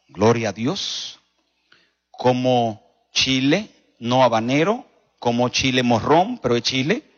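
A man with a Dominican accent preaching a sermon as a pastor, telling stories emphatically with rhetorical questions and dramatic pauses. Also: mid-range voice. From Dominican Republic. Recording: dull recording in a reverberant hall.

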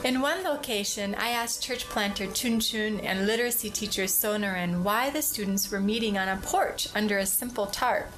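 A woman's voice: mid-range voice, moderate pace, hardly any pauses, background noise. A woman who grew up in Thailand gives a heartfelt, scripted first-person account in an earnest tone. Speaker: visiting educator. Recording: music bed under voice-over.

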